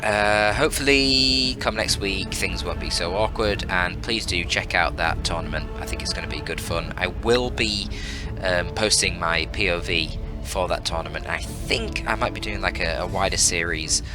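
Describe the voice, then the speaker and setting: A man's voice: low-pitched, normal speed. A man giving casual, chatty and analytical commentary on a strategy game as a caster, informal and lightly joking. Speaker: esports caster and channel host. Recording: music behind the voice.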